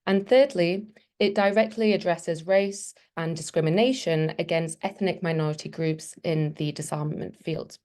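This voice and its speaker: Measured, low-voiced woman presenting research. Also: steady tone, darkish tone. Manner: measured, formal, list-like delivery. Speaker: webinar presenter. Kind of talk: prepared academic speech.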